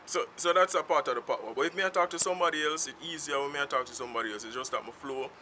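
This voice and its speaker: Jamaican man, vlogger, very bright tone, rambling casual talk, self-filmed outdoors, faint traffic behind. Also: on the high side, very fast. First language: Jamaican Patois. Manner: rambling, unscripted, free-flowing. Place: outdoors in town, in the sun. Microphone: self-filmed camera. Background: faint street traffic.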